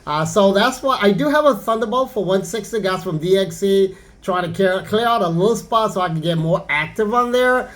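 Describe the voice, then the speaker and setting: High-pitched man chatting on ham radio. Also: lively intonation, brisk, fairly bright tone. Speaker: amateur radio operator. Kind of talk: casual radio contact chat.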